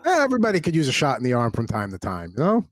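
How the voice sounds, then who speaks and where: A man's voice: medium pitch, wildly swooping pitch, racing, dry recording. A man in his forties, a show sidekick, chatting in casual, joking banter. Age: 40s. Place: at home.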